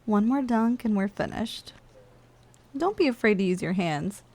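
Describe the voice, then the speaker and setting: A woman's voice: medium pitch, lively intonation, unhurried, a little mellow. Teasing, bossy giantess played by a woman, ASMR roleplay. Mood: coaxing, condescending. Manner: teasing, coaxing, casually condescending. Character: playful lazy giantess office worker, teasing and bossy. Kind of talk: intimate ASMR roleplay, addressing the listener directly.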